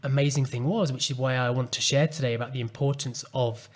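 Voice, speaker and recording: A man hosting a solo podcast, speaking calmly and earnestly as he reflects on a personal story. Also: medium pitch, fairly quick. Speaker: podcast host and coach.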